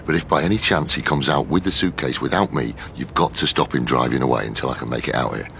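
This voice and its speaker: A gruff detective with a very deep voice, talking fast in blunt, impatient orders. It is radio drama, with faint noise behind him. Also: male voice, bright, forceful tone, full character voice. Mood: instructing. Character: gruff, impatient male police detective, bossy but careful.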